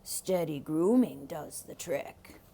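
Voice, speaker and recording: Woman putting on a sly, slow, growling storybook wolf. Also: low voice, animated, mellow, voice pushed down, heavily acted. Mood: wheedling. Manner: growling, menacing, coaxing. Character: sly, hungry male wolf, wheedling and greedy. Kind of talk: theatrical children's storybook read-aloud.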